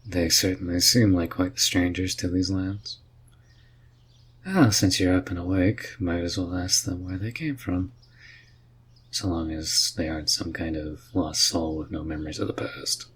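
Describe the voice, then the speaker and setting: A man performs an easygoing, curious male mage for a fantasy audio roleplay. The voice is deep and breathy, and the delivery is slow and musing. He carries a dry, gently teasing streak throughout. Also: steady tone, exaggerated voice. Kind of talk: roleplay audio drama addressed to the listener.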